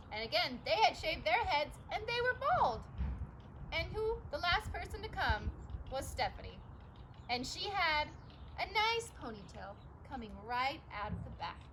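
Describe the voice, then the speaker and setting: A woman reading a picture book aloud as a teacher, expressive and emphatic, speaking directly to young children. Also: high-pitched, background noise. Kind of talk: animated storybook read-aloud with encouraging asides to children.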